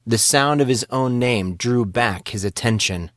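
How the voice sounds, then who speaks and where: A man's voice: mid-range voice, a little slow, no echo, clean studio sound. A man reading scripted fantasy fiction aloud as an audiobook narrator.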